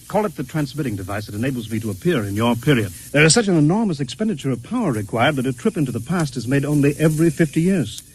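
Husky, formal scholar from the future. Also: male voice, mid-range voice, a little mellow. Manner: formal, precise, reverent, fussily explanatory. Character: courtly, excitable male art scholar from the far future. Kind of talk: vintage radio drama acting. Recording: hissy old-time radio recording.

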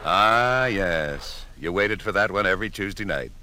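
A man's voice: low-pitched, animated, unhurried, very noisy. A man hosting a retrospective, narrating in a wry, reminiscing style. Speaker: narrator and host.

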